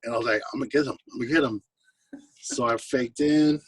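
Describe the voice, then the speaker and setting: American man, mid-pitched husky voice, slangy casual anecdotes, laid-back guest, recorded a little off the mic. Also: steady tone, fast, no echo, recorded from a distance, rough recording. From US West Coast and Hawaii. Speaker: guest, singer-songwriter musician. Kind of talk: casual chat, anecdotal storytelling.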